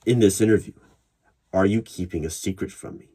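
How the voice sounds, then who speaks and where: Low-voiced man asking probing interview questions. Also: a little fast, dark tone. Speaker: interviewer in an audio roleplay. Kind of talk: ASMR roleplay of a job interview. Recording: clear background noise. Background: computer keyboard typing and clicks.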